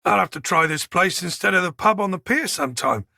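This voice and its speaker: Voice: mid-range voice, lively intonation, brisk, vocal fry, pushed and bright, dry recording, studio quality, heavily acted. An audiobook narrator playing a modest, slightly awkward policeman with an understated, apologetic manner. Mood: casual.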